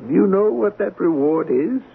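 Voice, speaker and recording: On a tinny old radio drama recording, a devout, incorruptible gentleman. His slightly high voice is creaky, and he speaks in formal, measured, philosophical phrases. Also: animated, a little hiss or hum. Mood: solemn, earnest. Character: devout, incorruptible art appraiser, gentlemanly and principled. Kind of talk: 1970s radio drama acting.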